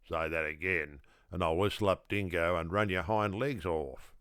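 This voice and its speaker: A man voicing a lordly, gentlemanly male god in a storybook, measured and courteous but stern when crossed. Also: low-pitched, clean tone, no room sound, clean studio sound. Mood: indignant. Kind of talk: storybook character voice.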